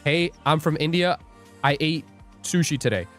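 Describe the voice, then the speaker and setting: A young man hosts a live trading stream, joking, rambling and laughing as he reads out his chat. His voice sits a little high for a man. Faint music plays under the talk. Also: normal speed, fairly bright tone. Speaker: livestream day trader host. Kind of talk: casual livestream chat.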